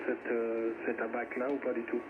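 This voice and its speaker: A man talking technically over amateur radio, explaining in a halting, searching way. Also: mid-range voice, completely flat delivery. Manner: hesitant, explanatory, spelling out in phonetic alphabet. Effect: radio transmission. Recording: tinny band-limited shortwave radio, loud static.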